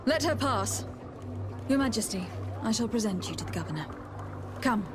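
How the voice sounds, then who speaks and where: A woman's voice: on the high side, expressive, normal speed, music in the background, close to an everyday voice. A woman playing a poised, politely teasing young noblewoman diplomat in a video game. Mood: composed, authoritative.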